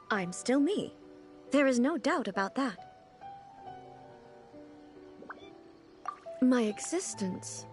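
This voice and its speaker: An actress playing a dignified, contemplative female ruler in a video game, speaking formally in a measured, pensive way. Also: high-pitched, exaggerated voice. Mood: resolute, pensive. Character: dignified, contemplative female ruler seeking eternity, earnestly puzzled. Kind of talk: scripted video game voice acting. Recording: background music under the voice.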